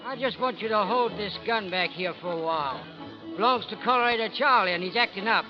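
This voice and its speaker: An actor playing a casual Old West saloon hand in old-time radio drama style. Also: male voice, sits high, brisk, lightly acted. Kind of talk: 1940s radio acting. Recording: muffled old radio with music.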